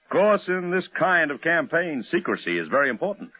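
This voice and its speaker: An actor playing a brash, wisecracking publicity agency boss in a vintage radio drama, with breezy, slangy delivery. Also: male voice, a little high, very animated, a little fast. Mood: confident pitch. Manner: slangy, breezy salesman talk. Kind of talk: 1950s radio drama acting. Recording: muffled, roomy old radio recording.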